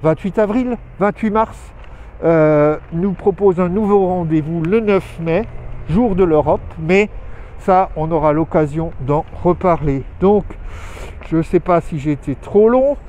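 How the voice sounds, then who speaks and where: A man's voice: sits high, unhurried, a little mellow, slight background noise. A man hosting a weekly live video outdoors, talking casually in a rambling, hesitant way with many fillers, recorded on a handheld phone or camera. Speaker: host of weekly live show. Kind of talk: casual live vlog update. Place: outdoors in town streets.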